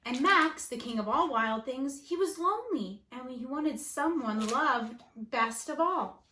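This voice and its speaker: Slow, high-pitched woman reading to children. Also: animated, bright, forceful tone, echoey room, a little hiss or hum. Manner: dramatic, with gasps, whispers and emphasis. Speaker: elementary school teacher. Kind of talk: expressive picture-book read-aloud for children.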